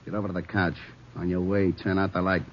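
An actor playing a menacing American gangster on the run in a 1950s radio crime drama, barking curt slangy orders and sardonic threats. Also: male voice, low-pitched, level tone, quick pace, close to an everyday voice. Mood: harsh, commanding. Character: menacing cop-killing gangster on the run, male. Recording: muffled, noisy 1950s radio recording.

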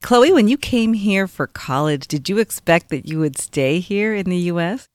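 A woman co-hosts a podcast, her low voice rising and falling with animated expression. She introduces the guest and asks questions enthusiastically. The sound is dry, close and free of room echo. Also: moderate pace. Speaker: podcast co-host. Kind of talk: podcast interviewing.

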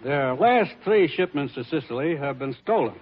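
A man's voice: a little high, lively intonation, moderate pace, husky. Casting: a matter-of-fact male commissioner, dry authority, 1940s radio style, muffled recording. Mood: grave, businesslike.

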